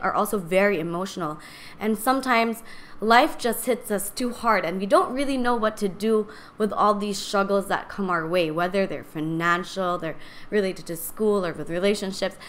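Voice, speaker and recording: Young woman with a raspy, cracking voice. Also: mid-range voice, moderate pace, fairly bright tone. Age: 20s. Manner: candid, rambling, chatty. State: strained voice from singing and talking too much. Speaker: podcast host. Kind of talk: casual personal talk to live stream viewers.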